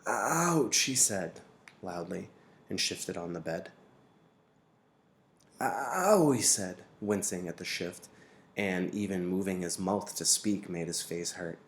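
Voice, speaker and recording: A man with a low voice reads a story aloud like a teacher. His phrasing is measured and clear. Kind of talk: storybook narration, read aloud.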